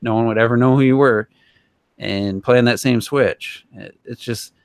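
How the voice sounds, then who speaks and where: Guy rambling on a gaming podcast, smooth clear voice, dry close sound. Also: mid-range voice, steady tone, moderate pace, no room sound. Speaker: podcast co-host. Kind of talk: casual gaming podcast discussion.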